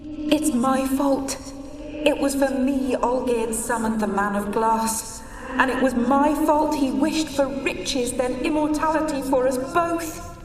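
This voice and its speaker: Slow, echoing, sorrowful ghost. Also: female voice, high voice. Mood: anguished, pleading. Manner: slow, dreamy, mournful, halting. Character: sorrowful, wistful ghost of a dead adult wife. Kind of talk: cinematic fantasy game acting. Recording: echoey long reverb, distant, music behind.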